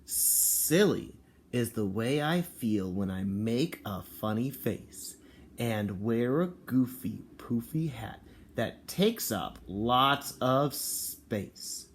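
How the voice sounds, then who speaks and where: A male kindergarten teacher talks to young children and their parents in a medium-pitched voice. He moves between simple explanation and storybook read-aloud. There is some ambient noise behind him on the recording. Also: animated, drawn-out words.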